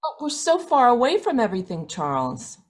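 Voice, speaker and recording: A woman's voice: a little high, wildly swooping pitch, normal speed. A woman playing an uneasy, submissive wife in an old-time radio drama, speaking in a plaintive, resigned way.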